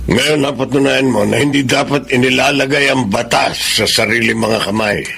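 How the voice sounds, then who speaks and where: A man's voice: medium pitch, moderate pace, gravelly, pushed and bright, slightly rough, exaggerated voice. A man playing a stern, sardonic jail warden who lectures in a Filipino radio drama. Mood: stern, mocking.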